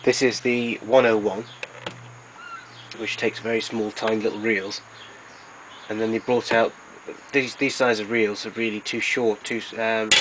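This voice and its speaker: A man giving an informal, matter-of-fact demonstration as a collector, explaining things in a halting way. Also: lowish voice, little pitch movement, background noise. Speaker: collector presenting his tape recorders. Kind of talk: informal product demonstration narration.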